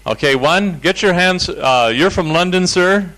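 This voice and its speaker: A man's voice: high-pitched, measured pace, sharp tone, low-quality recording. A man leading a seminar, casually calling audience members up to introduce themselves. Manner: informal, inviting, with fillers. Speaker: seminar leader. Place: seminar room.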